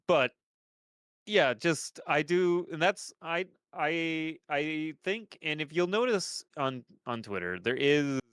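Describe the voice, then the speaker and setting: A man's voice: on the high side, expressive, slow, fairly bright tone, smooth, dry recording, clean studio sound. A young man with a stuffed-up nose, chatting on a podcast in a rambling way, with lots of restarts and casual swearing. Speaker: podcast host.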